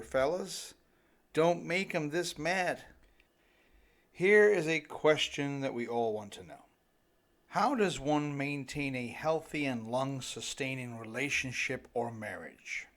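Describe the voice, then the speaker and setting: A man's voice: mid-range voice, fairly bright tone. A middle-aged man narrating his own self-help audiobook with light tongue-in-cheek humour, speaking straight to his listeners. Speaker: author reading own audiobook.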